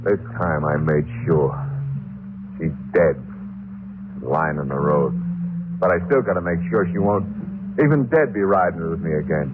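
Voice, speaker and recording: An actor playing a guilt-haunted, nervous murderer in an old-time radio horror drama, speaking in halting, stammering phrases. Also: male voice, low-pitched. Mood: grim, confessional. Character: guilt-haunted, jittery murderer narrating his crime. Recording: noisy, muffled old radio broadcast.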